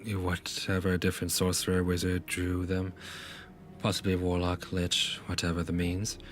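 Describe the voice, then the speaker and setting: A man voicing a formal, guarded female scholar of magical lore in a tabletop game, speaking in a measured, hesitant way. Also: deep voice, monotone, close to an everyday voice. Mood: formal, matter-of-fact.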